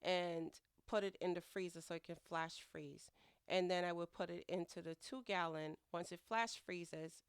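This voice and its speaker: A woman's voice: lowish voice, clear, resonant tone, no echo, studio quality. A middle-aged woman narrating her home cooking in a chatty, rambling way, sounding nasal from a head cold. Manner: chatty, rambling, laughs at herself. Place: home kitchen.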